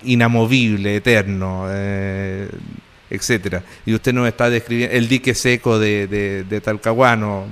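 A man hosts an interview, his voice smooth and resonant at a middle pitch. He explains carefully, stacking his points one after another. A long reverb trails each phrase. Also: steady tone, unhurried, a bit bright. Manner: explanatory, builds points in lists. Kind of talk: interview host commentary.

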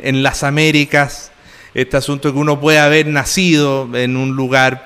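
Smooth-voiced man hosting with long reverb. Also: medium pitch, fairly bright tone. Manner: explanatory, builds points in lists. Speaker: interviewer. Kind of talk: interview host commentary.

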